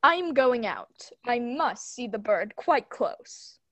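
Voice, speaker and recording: A cheerful, carefree fairy-tale father who draws out every happy line slowly, as a woman reads him aloud. The voice is fairly high and the sound is close and dry. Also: big pitch swings, pushed and bright, no echo, exaggerated voice. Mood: contented. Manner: slow, gladly drawn-out lines. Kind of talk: amateur group read-aloud of a fairy tale.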